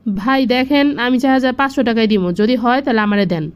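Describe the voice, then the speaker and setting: Fast-talking, high-voiced Bangladeshi woman shopper played by actress. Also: clean tone, lightly acted. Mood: indignant. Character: brisk, price-conscious woman customer at clothes shop. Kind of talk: cartoon skit dialogue.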